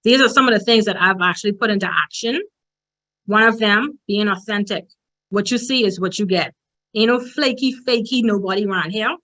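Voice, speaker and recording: A woman's voice: mid-range voice, a little fast, bright, forceful tone, poor audio. Bahamian woman conference speaker, direct and emphatic, conversational motivational talk, mid-range voice. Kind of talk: motivational presentation.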